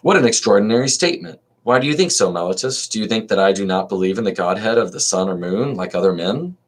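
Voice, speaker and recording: A man reading aloud as an old male philosopher defending himself at trial, in an even reading voice with small restarts. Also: fairly low pitch, fast. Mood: questioning.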